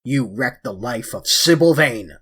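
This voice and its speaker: A man voicing a vengeful, thick-set sailor in an audiobook, speaking in a menacing, forceful way. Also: mid-range voice, animated, a little slow, breathy edge.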